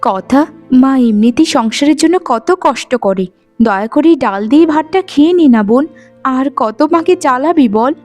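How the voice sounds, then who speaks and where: Woman playing a gentle, high-voiced young sister. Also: expressive, normal speed, soft background music. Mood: pleading. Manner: soft-hearted, pleading. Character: gentle, dutiful, loving young village twin sister. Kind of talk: animated folk-tale cartoon voice acting.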